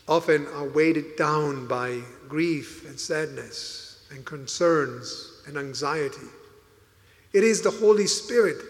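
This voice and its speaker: A priest whose first language is Italian delivers a homily in a slightly high, unhurried voice. He leans on key words with emphatic rises. The sound carries a long reverb, as in a church. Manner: slow, deliberate preaching with emphatic rises.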